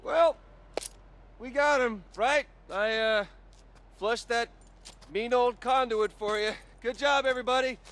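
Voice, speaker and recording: An actor playing a cocky but awkward young rebel in a video game, flippant, with halting bravado. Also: male voice, very high-pitched, a bit bright, a little hiss or hum. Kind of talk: video game cutscene acting.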